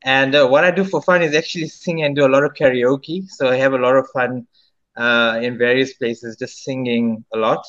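A man's voice: medium pitch, steady tone, normal speed, fairly bright tone, highs cut off. A South African singer in his thirties, answering interview questions in a rambling way with many restarts. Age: 30s. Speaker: singer-songwriter guest.

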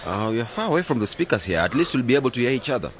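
A man's voice: mid-range voice, big pitch swings, very fast, lightly acted. An actor playing an earnest, idealistic man in his twenties in a radio drama, eager and determined. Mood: friendly, concerned. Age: 20s. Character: idealistic twenty-nine-year-old man, determined village cooperative founder. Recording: faint background ambient noise.

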